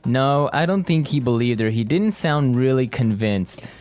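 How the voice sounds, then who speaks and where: A man co-hosting a conversational language lesson podcast, casual and explaining things clearly. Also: medium pitch, lively intonation, moderate pace. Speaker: podcast co-host and language teacher.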